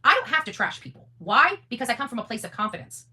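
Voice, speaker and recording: A woman's voice: fairly high pitch, sing-song, fast talker, sharp tone. A woman hosting an unscripted, opinionated monologue, blunt and defiant, often repeating phrases for emphasis and swearing freely. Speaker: online content creator and host.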